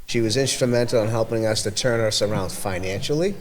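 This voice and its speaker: A man with an Everett, Massachusetts accent, talking as a community event organizer in a casual podcast chat, rambling and halting with many restarts. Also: fairly low pitch, slight background noise.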